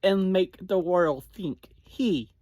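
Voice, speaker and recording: A podcast host gives a casual, conversational review at a slow pace. The delivery is halting, broken into short phrases. The voice sits at a medium pitch and is recorded close and dry, with very little room sound. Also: darkish tone, dry recording. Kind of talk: casual episode review and recap.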